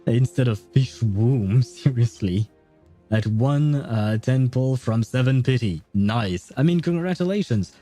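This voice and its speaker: A man's voice: mid-range voice, animated, unhurried, mellow, soft background music. A man hosting a gaming livestream, talking casually and thinking aloud in a rambling way. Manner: rambling, thinking aloud, chuckles at own jokes. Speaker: livestream host.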